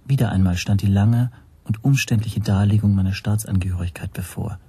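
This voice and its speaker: An actor playing a watchful first-person narrator in a radio drama, with a breathy, husky voice. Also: male voice, on the low side, brisk, warm tone. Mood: observant, tense.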